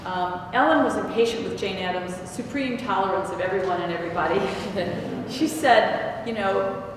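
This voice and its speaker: A woman's voice: mid-range voice, moderate pace. Older woman, panel speaker, chatty with restarts, heard from a distance in a big echoey hall with noise. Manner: conversational, restarts and self-corrections. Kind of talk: answering audience questions on a panel. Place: large echoey hall with stone walls. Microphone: table mic, picked up from a distance.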